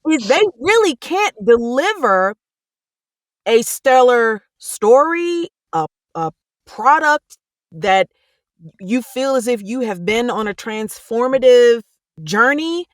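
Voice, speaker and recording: Emphatic, lively woman on a dry mic. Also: a little high, no room sound. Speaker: audiobook narrator, podcast co-host. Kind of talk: casual roundtable discussion.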